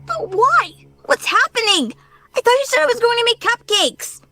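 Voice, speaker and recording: A woman's voice: very high voice, very animated, moderate pace, bright, forceful tone, soft background music, full character voice. A woman playing a wary, plain-spoken young female cartoon pegasus pony in a dramatized horror story reading. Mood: alarmed. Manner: casual, forthright, pleading.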